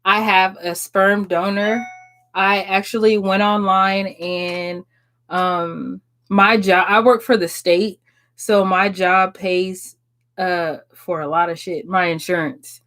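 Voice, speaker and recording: A woman's voice: mid-range voice, fairly even intonation, slow, a bit bright. A woman, chatting frankly and casually on a live stream, swearing and laughing often. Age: 30s. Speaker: livestream host answering viewers.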